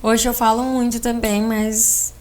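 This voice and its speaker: A woman's voice: fairly high pitch, flat delivery, measured pace, a little mellow, a little hiss or hum. A woman with a Brazilian accent, co-hosting a podcast and talking casually as she asks questions and shares her experience. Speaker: podcast co-host interviewing her partner, architect. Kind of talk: casual conversational interviewing.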